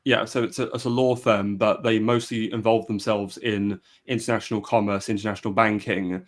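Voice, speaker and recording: A man's voice: lowish voice, level tone, a little fast. A man hosting a live history discussion, speaking with frequent hesitations and restarts. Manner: hesitant, many fillers and restarts. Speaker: podcast host. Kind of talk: casual live-stream discussion.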